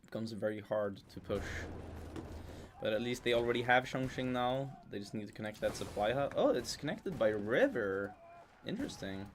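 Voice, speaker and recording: A man's voice: lowish voice, lively intonation, moderate pace, slight background noise. A man giving casual live commentary on a strategy game, thinking aloud as he goes.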